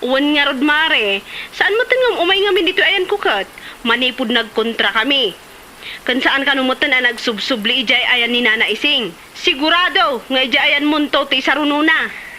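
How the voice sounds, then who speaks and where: A woman's voice: high-pitched, animated, normal speed, sharp tone, rough recording. A woman playing a talkative, gossipy village neighbour in a comedy drama, speaking with an Ilocano accent. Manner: exclamatory, gossipy. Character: talkative village woman siding with an offended elder. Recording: slight background noise.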